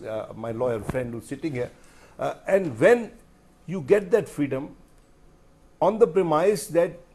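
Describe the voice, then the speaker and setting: A middle-aged man with an Indian accent speaking as a journalist on a discussion panel, deliberate and emphatic, often repeating phrases. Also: fairly high pitch, mellow.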